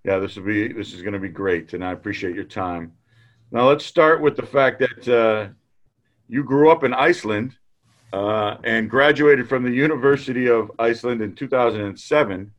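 A man hosting a relaxed interview show, asking his guest questions. His voice sits at a middle pitch, and he talks with a friendly, slightly halting manner. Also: lo-fi sound. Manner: friendly, hesitant, many fillers. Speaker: podcast host. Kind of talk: casual interview conversation.

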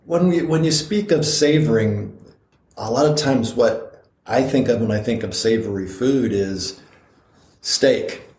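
A man's voice: medium pitch, measured pace, a little mellow, roomy sound. A middle-aged man co-hosting a podcast, chatting in a rambling way with frequent restarts. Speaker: podcast co-host. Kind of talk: casual chat.

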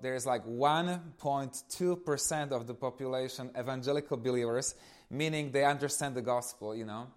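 Slovak-accented man sharing his testimony. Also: medium pitch. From eastern Slovakia. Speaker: guest speaker giving testimony. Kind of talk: informal personal testimony to an audience.

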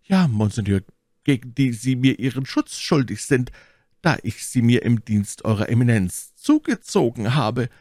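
A man's voice: medium pitch, lively intonation, moderate pace, a little mellow, dry recording, studio quality, voice pushed up, close to an everyday voice. A man voicing a scheming, vengeful noblewoman who speaks with formal, insistent deference in a storybook reading. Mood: deferential, calculating.

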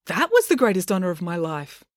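A woman's voice: a little high, very animated, normal speed, no echo, studio quality, voice pushed up. A woman voicing an awkward, flustered middle-aged dad in a children's audiobook, honest and rambling. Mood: earnest. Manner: hesitant, earnest, rambling.

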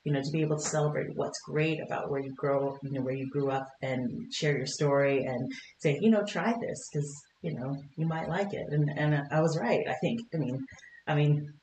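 A middle-aged woman from Kentucky, a podcast guest, talking in a warm, chatty way with frequent laughs and fillers. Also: low voice, normal speed, roomy sound, slight background noise, rough recording. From Kentucky, United States. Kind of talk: conversational interview. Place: podcast studio.

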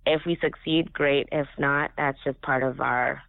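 A man's voice: medium pitch, fairly even intonation, unhurried, creaky, no room sound. A man raised speaking Swahili as his first language, telling his story in an earnest, reflective casual chat. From Africa. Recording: tinny phone-like sound.

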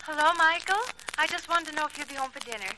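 An actress playing an affectionate, apologetic wife in a 1940s radio drama, heard as a distant, solicitous telephone voice. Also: female voice, sits high, fast talker. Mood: tender. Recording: noisy old radio recording, distant phone voice.

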